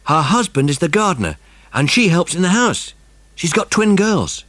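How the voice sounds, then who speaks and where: Man voicing an eager, high-pitched young boy. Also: very animated, normal speed, a bit bright, no room sound. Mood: chatty, forthright. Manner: colloquial, exclaiming, eager. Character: Ern, excitable working-class boy, eager and bossy. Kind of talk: dramatised children's audiobook acting.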